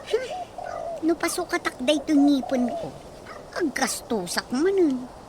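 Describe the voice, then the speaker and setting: Woman, high pitch, embarrassed mumbling, radio comedy drama, noisy recording. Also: animated, a little slow. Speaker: woman with new dentures. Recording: noisy background hiss.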